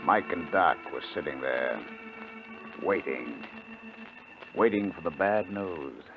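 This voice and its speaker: A man's voice: low-pitched, animated, slow, full character voice. An actor playing a brooding, regretful male criminal mastermind, terse and weary, in a 1950s radio drama. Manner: terse, weary, hard-boiled. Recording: tinny old radio recording, music behind.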